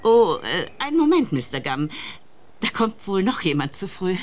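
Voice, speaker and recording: A woman's voice: medium pitch, big pitch swings, moderate pace, over music, close to an everyday voice. A woman playing a polite, probing interviewer in a radio play, with a courteous, hesitant manner. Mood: musing, hesitant. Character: polite, probing female interviewer, carefully conversational. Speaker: actress in a radio drama. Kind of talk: German radio play acting. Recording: faint background noise.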